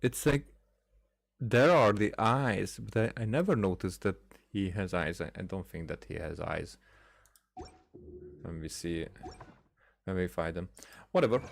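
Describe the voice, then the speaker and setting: Low-voiced man musing over a game. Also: creaky. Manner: hesitant, halting musing aloud. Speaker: gaming video host. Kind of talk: casual let's-play commentary.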